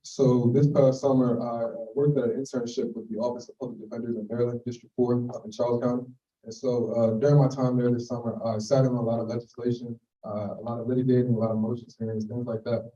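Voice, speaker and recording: Young male student candidate, quick and mellow-toned, distant roomy mic. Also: mid-range voice, muffled recording. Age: young adult. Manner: earnest, steady self-introduction with filler uhs.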